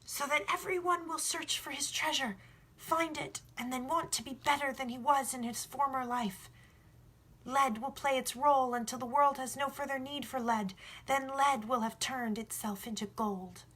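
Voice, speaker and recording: A woman's voice: high-pitched, moderate pace, fairly bright tone, husky, pitched above the performer's own voice. A woman voicing an earnest, bold young boy who philosophizes emphatically in a storybook read. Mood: fervent.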